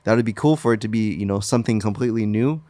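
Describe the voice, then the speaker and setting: Low, halting man talking on a podcast. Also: dark tone, no echo. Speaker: podcast co-host. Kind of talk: casual discussion of games and TV.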